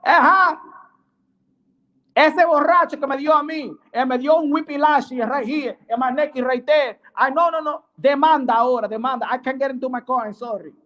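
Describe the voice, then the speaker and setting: A man voicing a flustered, indignant car-crash victim, in broken, repetitive, excitable comic speech. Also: extremely high pitch, normal speed, highs cut off, pitched above the performer's own voice. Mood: indignant, pleading.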